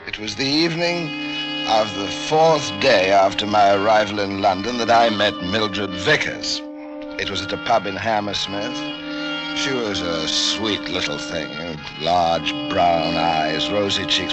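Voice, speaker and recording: An actor playing a fussy, unctuous middle-aged doctor with hidden menace, genteel and halting in the mannered style of old-time radio drama. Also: male voice, low voice, low-quality recording. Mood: smug, confiding. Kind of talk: old-time radio drama acting, first-person narration and dialogue. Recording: vintage radio drama with music bed.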